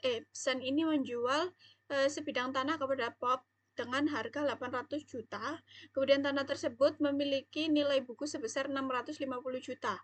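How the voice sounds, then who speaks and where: A woman's voice: high voice, no room sound. A young woman explaining material as a student presenter, somewhat hesitant. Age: young adult.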